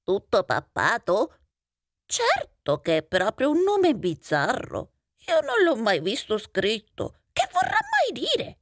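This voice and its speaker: A trusting little storybook mouse, played by a woman, full of puzzled questions and exclamations. The pitch swings widely, and the recording is close and dry. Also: wildly swooping pitch, slow pace, no echo, full character voice. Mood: baffled. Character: tidy, trusting but puzzled little male mouse of fairy tale.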